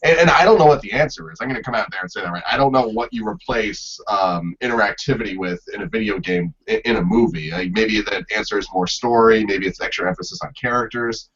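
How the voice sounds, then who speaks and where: A man's voice: fairly low pitch, quick pace, few pauses, a bit bright, husky. A man speaking as a guest in a casual panel discussion, tentatively thinking aloud in fragments. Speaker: podcast guest. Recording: dull lo-fi podcast audio.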